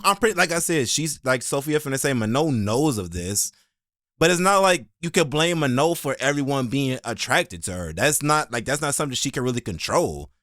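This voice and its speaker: A man's voice: mid-range voice, animated, brisk, a bit bright, dry recording. Casual American man, dry close sound. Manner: slangy, opinionated, rambling. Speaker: reaction video host. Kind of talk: casual reaction commentary.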